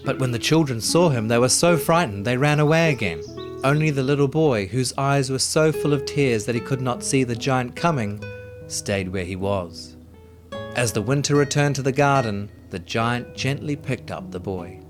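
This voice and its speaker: A man narrating a children's story in an expressive, dramatic way, with music behind him. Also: mid-range voice, normal speed.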